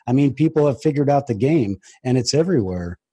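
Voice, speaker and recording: A man's voice: medium pitch, animated, a little fast, dark tone, husky. An American man hosting an interview in a conversational, informal way, often restarting and adding personal asides. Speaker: interview host.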